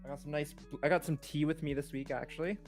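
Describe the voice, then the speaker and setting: A man's voice: a little high, fairly even intonation, quick pace, darkish tone. A young man co-hosting a podcast, chatting in an easygoing, slangy way. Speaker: podcast co-host. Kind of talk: casual chat. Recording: music playing behind the voice. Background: background music.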